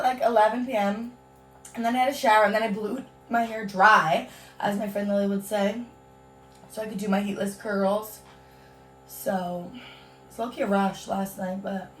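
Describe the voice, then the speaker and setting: A young woman from Canada talks through a casual home vlog, bubbly and chatty and peppered with "like" and "you guys." Her voice is hoarse from a cold. She sits a little back from the mic, with faint music playing beneath her. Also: a little high, distant mic. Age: young adult. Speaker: beauty vlogger. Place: at home on a hot day.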